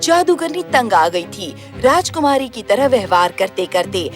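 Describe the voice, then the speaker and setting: A woman narrates a children's fairy tale from a script. Her voice is fairly high, and music plays clearly behind her. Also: big pitch swings, measured pace.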